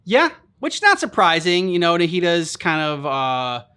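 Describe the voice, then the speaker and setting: A man hosting a game reaction video, chatting casually in a halting, rambling way with many filler words. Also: a little high, wildly swooping pitch, sharp tone, smooth. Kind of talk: casual chat commentary.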